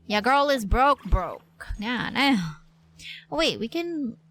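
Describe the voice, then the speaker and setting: A woman's voice: a little high, sing-song, takes it slowly, a bit bright. A woman livestreaming a game with casual, playful, slangy commentary, chatting to herself and to her viewers.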